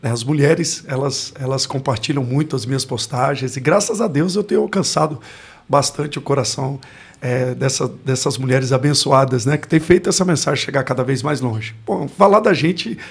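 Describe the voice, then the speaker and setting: A man's voice: medium pitch, normal speed, gravelly, husky. A Brazilian man in his forties, a pastor, telling his life story in a podcast interview in a reflective way, often searching for words. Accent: Brazilian Portuguese. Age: 40s. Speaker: pastor and guest.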